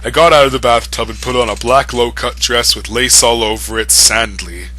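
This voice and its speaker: A man's voice: mid-range voice, fairly even intonation, normal speed, pushed and bright. A man reading fan fiction aloud for comedy, breaking in with mocking, amused asides. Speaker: reader.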